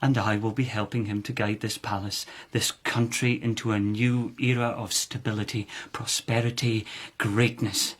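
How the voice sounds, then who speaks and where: An actor playing a calculating, authoritative lord of the palace in a radio drama, speaking in a formal, measured, oratorical way. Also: male voice, on the low side, little pitch movement, lightly acted. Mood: persuasive.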